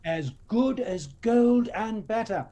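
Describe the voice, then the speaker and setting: An actor playing a gentle, devoted poor father in a Victorian audio play, tender and expressive. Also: sits high, takes it slowly. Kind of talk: audio play acting, old radio drama style.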